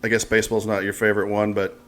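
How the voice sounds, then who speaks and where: A man's voice: fairly low pitch, monotone, quick pace, fairly bright tone. An American man hosting a podcast, talking in a casual, conversational interview style. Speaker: podcast host.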